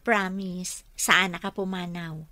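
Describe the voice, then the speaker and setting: A woman's voice: lowish voice, steady tone, takes it slowly, sharp tone, no room sound. An actress playing a coy, flustered young female clerk being courted in an Ilocano radio comedy drama, full of questioning exclamations. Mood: coy, teasing.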